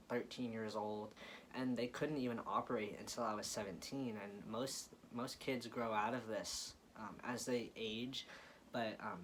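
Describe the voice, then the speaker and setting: A man's voice: medium pitch, a bit bright. Casual young American man, noisy recording. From Florida, United States. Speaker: vlogger. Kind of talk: casual chat to viewers.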